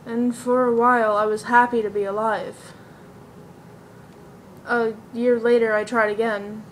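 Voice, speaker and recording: A woman acts out a bleak, depressed young woman in a dramatic stage monologue. The voice is on the high side, earnest and confessional, over a slightly noisy amateur recording. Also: natural voice. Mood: quiet, bleak.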